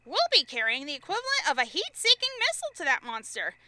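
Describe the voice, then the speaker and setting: A woman's voice: high-pitched, huge pitch swings, quick pace, bright, forceful tone, a little hiss or hum, heavily acted. A woman playing a confident, teasing teenage girl hero in an audio drama. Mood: eager scheming. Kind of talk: fan audio drama acting.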